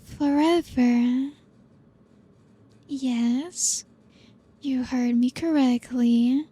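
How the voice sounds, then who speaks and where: Woman voicing a breathy, possessive vampire seductress. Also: sits high, steady tone, heavily acted. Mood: teasing. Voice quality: breathy, whispery. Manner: slow, teasing, purring, with soft laughs. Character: possessive female vampire, ancient, hungry, seductive. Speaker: ASMR roleplay performer. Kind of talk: ASMR roleplay.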